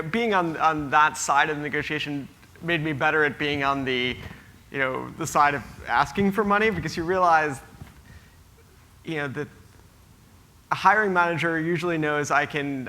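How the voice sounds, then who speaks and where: A man's voice: a little high, normal speed, bright, forceful tone. A man moderating a conference panel, answering questions in a hesitant way with many fillers and restarts. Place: conference hall.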